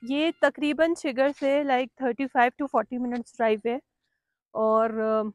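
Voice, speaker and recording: A woman travel vlogger talks casually while outdoors by a lake, with a fairly high voice. She is chatty and slips English phrases into her narration. The recording is dry and close, as from a handheld phone. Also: fairly even intonation, measured pace, dry recording.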